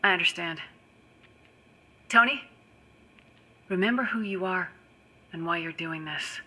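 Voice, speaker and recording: A woman's voice: on the low side, measured pace, pushed and bright, heavily acted. An actress playing a concerned, caring woman in a video game, speaking in a probing, earnest way.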